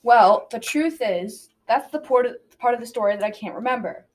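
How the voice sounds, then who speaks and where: A young student plays a kindly grandpa in a school radio play, spinning a folktale for the grandchildren. The voice is youthful and medium in pitch, with a gentle storytelling manner. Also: expressive, moderate pace, natural voice. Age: about 12 to 14. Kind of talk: amateur radio drama storytelling.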